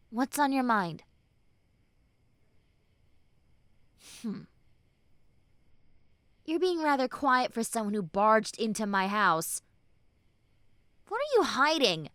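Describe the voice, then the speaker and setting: Casting: female performer as tsundere young woman, high voice, unhurried and halting, prickly yet tender, intimate roleplay audio. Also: a bit bright, no echo, exaggerated voice. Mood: curt, annoyed. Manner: halting, sighing, informal delivery. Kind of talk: roleplay audio acting addressed to the listener.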